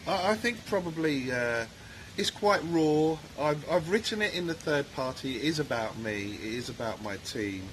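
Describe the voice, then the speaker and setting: Earnest man, hesitant speech. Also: mid-range voice. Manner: hesitant, frequent ums, earnest. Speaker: guest, author and former soldier. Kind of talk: interview answers. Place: outdoor cafe at a resort. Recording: noticeable background noise.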